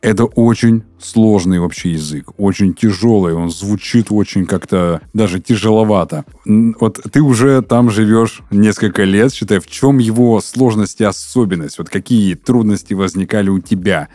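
A man hosting a podcast, asking relaxed conversational questions. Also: on the low side, moderate pace, few pauses, dry recording. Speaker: podcast host. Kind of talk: casual interview.

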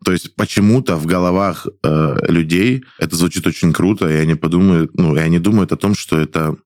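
A young man with a low, deep voice chats hesitantly, pausing and restarting often and chuckling between thoughts. The sound is dry and close, with no room echo. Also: a little mellow. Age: 20s. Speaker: guest actor. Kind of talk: casual studio chat.

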